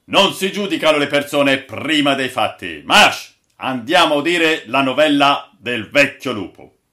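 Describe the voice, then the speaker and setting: A man voicing a commanding, impatient ship's captain in a storybook, loud and imperious. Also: medium pitch, echoey room, natural voice. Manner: imperious, loud, brisk orders.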